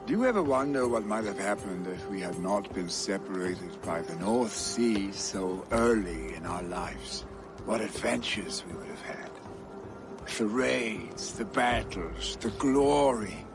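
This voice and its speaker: A man's voice: lowish voice, lively intonation, measured pace, gravelly. A young Viking warrior, son of a jarl, in a video game. He takes his time with his words, earnest and restless, with music playing behind him. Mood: wistful, tender. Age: young adult. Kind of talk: video game cutscene acting.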